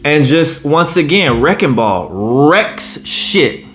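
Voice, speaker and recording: A man's voice: a little high, lively intonation, slow, a bit bright, faint music behind. A man in his late thirties giving blunt, profane, indignant reaction commentary. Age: 30s. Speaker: commentary vlogger.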